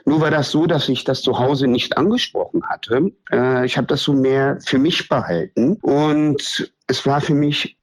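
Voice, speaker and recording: A polite man in his fifties from Berlin, a podcast guest telling his life story in a measured, formal way. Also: medium pitch. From Berlin, Germany. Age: 50s. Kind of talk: reflective personal storytelling.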